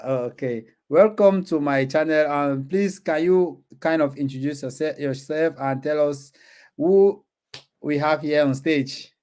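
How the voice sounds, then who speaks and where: A man's voice: on the high side, slow, darkish tone. A man hosting his own channel, hesitant and filler-heavy as he introduces an online interview. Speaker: channel host.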